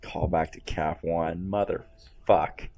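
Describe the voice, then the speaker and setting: A man's voice: low-pitched, steady tone, slow, darkish tone. A man giving casual, informal film commentary as host, talking like an enthusiastic fan.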